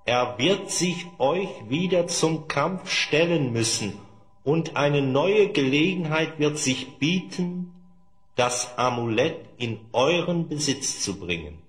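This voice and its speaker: Slow, solemn old wizard mentor. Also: male voice, on the high side, lively intonation. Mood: solemn, instructive. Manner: measured, solemn, instructive. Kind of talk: children's fantasy audio drama acting.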